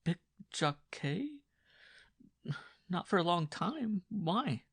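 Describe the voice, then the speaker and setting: Man playing a chattering, nagging peasant wife, pitched up. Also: big pitch swings, extremely slow, a bit bright, slightly rough, no echo, heavily acted. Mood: evasive. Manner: chattering, insistent, questioning. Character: talkative peasant wife, nagging, prying, evasive. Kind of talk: dramatized audiobook dialogue.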